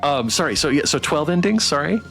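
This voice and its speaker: A man hosting a casual livestream interview, asking questions in a halting, appreciative way. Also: fairly high pitch, big pitch swings, creaky, fairly bright tone, faint music behind. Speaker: interviewer and stream host.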